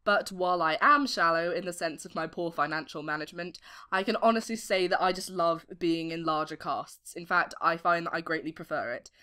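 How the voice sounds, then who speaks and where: A woman's voice: fairly low pitch, a little fast, sharp tone. A British woman in her late teens giving articulate, formally worded interview answers as a voice actor. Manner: articulate, formal wordy phrasing.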